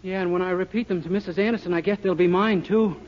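Man as high, quick, boyish radio wisecracker. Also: fairly even intonation, close to an everyday voice. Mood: meek, dismayed. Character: naive, wisecracking young male shop employee. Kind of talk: 1940s radio comedy acting. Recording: noisy, dull, crackly 1940s radio recording.

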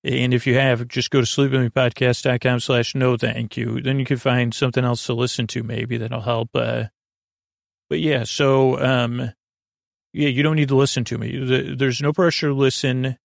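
A man hosting a bedtime podcast, talking in a casual, meandering, halting way with goofy, reassuring asides and a gravelly voice. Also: medium pitch, level tone, dry recording, clean studio sound. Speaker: podcast host.

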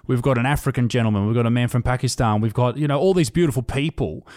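A man's voice: mid-range voice, fast talker, darkish tone, no room sound. Blunt Australian man, dry close sound. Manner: blunt, swears freely, loose run-on talk. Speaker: podcast host. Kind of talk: casual chat.

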